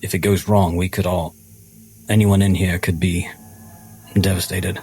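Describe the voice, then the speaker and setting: A man acting in character in a tabletop role-play drama, grave and deliberate. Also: low voice, little pitch movement, darkish tone, soft background music. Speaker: role-play game player.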